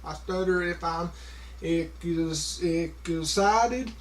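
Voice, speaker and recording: A man talking casually to a camera, with a heavy stutter, repeating sounds and stopping on words, with many fillers. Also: on the high side. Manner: slow, halting, many fillers. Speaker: vlogger.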